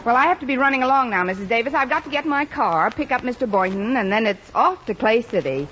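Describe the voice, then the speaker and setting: A woman's voice: fairly high pitch, lively intonation, quick pace. An actress playing a wry, wisecracking, hopeful woman schoolteacher in an old-time radio sitcom, with a dry, sardonic delivery. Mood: wry, deadpan. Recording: dull vintage radio recording, noisy, loud audience.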